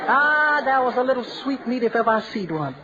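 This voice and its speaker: An actor playing a boastful, carefree young sailor who brags about dates, in 1940s radio comedy style. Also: male voice, very high-pitched, big pitch swings, a little fast. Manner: bragging, rhyming, showy. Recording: muffled old radio broadcast with hiss.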